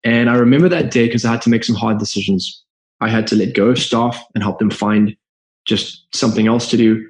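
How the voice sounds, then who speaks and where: A man's voice: fairly low pitch, brisk. A man with a Zimbabwean accent, a guest entrepreneur telling his story in a podcast interview in an earnest, open way. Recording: roomy echo.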